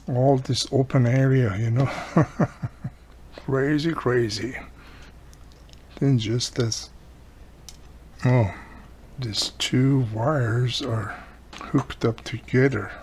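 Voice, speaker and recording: A man's voice: mid-range voice, a little hiss or hum. Older man chatting through a radio repair. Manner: chatty, thinking aloud while working. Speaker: electronics repair hobbyist. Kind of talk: casual repair tutorial. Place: home workshop room.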